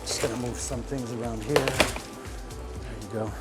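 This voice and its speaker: Man talking shop, halting. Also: mid-range voice, steady tone, over music. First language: French. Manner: rambling, many fillers, searches for words. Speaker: restaurant owner. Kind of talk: casual podcast talk. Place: restaurant kitchen.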